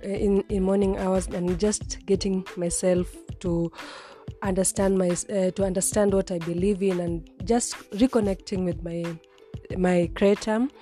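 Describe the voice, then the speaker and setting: A woman's voice: medium pitch, steady tone, unhurried, dark tone, faint music behind. A woman in her twenties hosting a solo lifestyle podcast, chatting casually with frequent restarts and repeated words. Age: 20s. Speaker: podcast host.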